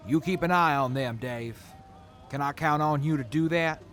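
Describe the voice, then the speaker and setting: An actor playing a sincere, gentlemanly military major in an audio drama, earnest and grateful. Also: male voice, on the high side, normal speed, faint music behind, close to an everyday voice. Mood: fond. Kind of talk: post-apocalyptic audio drama acting.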